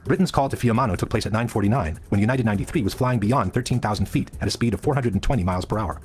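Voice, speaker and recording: A man reading scripted documentary narration in a measured, expository manner, sounding sped up. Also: fairly low pitch, a little mellow, a little hiss or hum. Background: background music.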